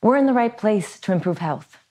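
A woman's voice: medium pitch, expressive, fairly quick, warm tone. A woman in her thirties from Latin America co-hosting a charity benefit, speaking earnestly to camera. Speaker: benefit co-host. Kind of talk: scripted presenting to camera. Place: in front of a backdrop.

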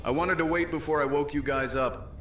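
A man's voice: on the high side, steady tone, brisk, very noisy, close to an everyday voice. A man playing a brave, plain-spoken young Roman officer in a fantasy audio drama. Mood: urgent. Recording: echoey, distant, loud music behind.

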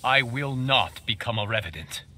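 A man's voice: mid-range voice, lively intonation, moderate pace, bright, forceful tone. A man playing a righteous, resolute young martial arts champion in a video game, earnest and firm. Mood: earnest resolve.

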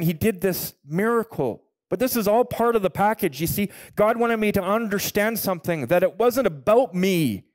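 A man, a pastor telling his own story in a sermon, building to loud, emphatic peaks. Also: high-pitched, dry recording. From Canada. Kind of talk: sermon with personal testimony. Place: church stage, indoors. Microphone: headset mic.

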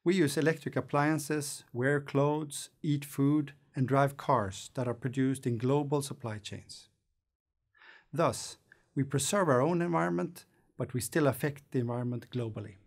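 A man, presenting a scripted lecture in a studio in a measured, thoughtful way. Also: mid-range voice, fairly even intonation. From Sweden. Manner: measured, thoughtful explaining with hand gestures. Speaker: course lecturer. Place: studio against a green screen.

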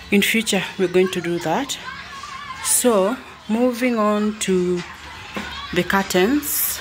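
A woman from Kenya with a Kenyan accent narrates a casual house tour in an unhurried, repetitive way, as if pointing things out. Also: mid-range voice. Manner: unhurried, repeats phrases while pointing things out. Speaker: vlogger. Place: indoors, living room of a family home. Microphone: handheld phone or camera held by the speaker.

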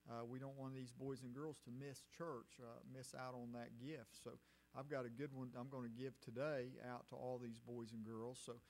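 A middle-aged man, a pastor speaking informally to his congregation from the pulpit in a halting, folksy way. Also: medium pitch, flat delivery, a little mellow. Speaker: church pastor hosting a missions conference. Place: church sanctuary, at the pulpit. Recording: faint hum and background noise.

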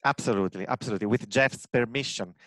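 A man's voice: mid-range voice, fast, a bit bright, no room sound. Italian-accented man in his forties, earnest interviewee on a headset mic, dry sound. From Italy, Rome. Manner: earnest, many fillers and restarts. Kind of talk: video call interview. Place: home room with bookshelves.

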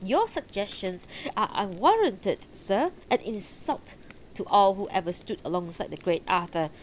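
A woman's voice: mid-range voice, expressive, measured pace, slight background noise, natural voice, rough recording. A woman voicing a proud, blustering old male knight in a fantasy story, formal and indignant. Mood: defensive, indignant.

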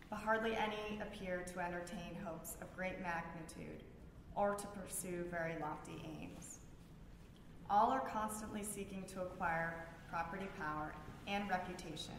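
A woman reading prepared texts aloud at a public event, sometimes stumbling over words and restarting. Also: on the low side. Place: large reverberant room at a library event. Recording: very echoey hall with background noise.

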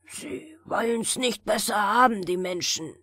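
A woman voicing a gruff, grim, bearded old keeper in a fairy tale, grumbling as he talks. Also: medium pitch, measured pace, a bit bright, dry recording, clean studio sound. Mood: grim, bitter. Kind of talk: fairy tale storybook reading.

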